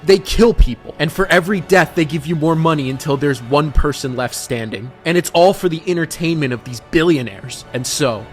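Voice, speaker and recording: A man's voice: fairly high pitch, a little fast, few pauses, faint music behind, voice pushed up, lightly acted. A man voicing a desperate, earnest and vengeful survivor in a dramatic story. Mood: bitter, determined.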